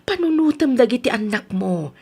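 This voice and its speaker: A woman's voice: on the high side, big pitch swings, moderate pace, gravelly, darkish tone, slightly rough, exaggerated voice. An actress with an Ilocano accent playing a concerned, insistent mother of a grown son in a radio drama.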